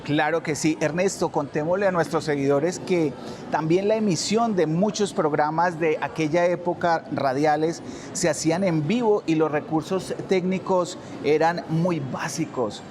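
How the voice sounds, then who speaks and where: Higher-voiced male interviewer. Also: normal speed, a crowd in the background. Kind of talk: formal interview questions.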